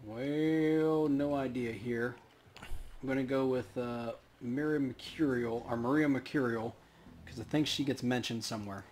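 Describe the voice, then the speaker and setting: A man's voice: medium pitch, unhurried, darkish tone, slight background noise. A man playing a video game as co-host, chatting casually in a laid-back, slangy, sometimes profane way. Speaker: let's play player and co-host. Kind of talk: casual gamer chat.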